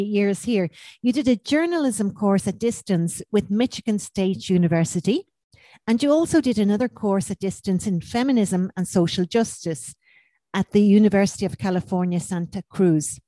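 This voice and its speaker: A woman's voice: medium pitch, mellow, no echo. A woman hosting an interview podcast, asking encouraging questions and often using the guest's name. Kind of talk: hosted interview.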